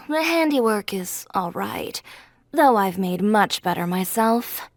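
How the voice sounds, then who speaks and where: Slow, judgy craftswoman. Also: a little high, expressive, dry recording. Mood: unimpressed. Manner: cool, critical, sighing superiority. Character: aloof, judgmental woman craft expert in a game. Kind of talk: video game character voice acting.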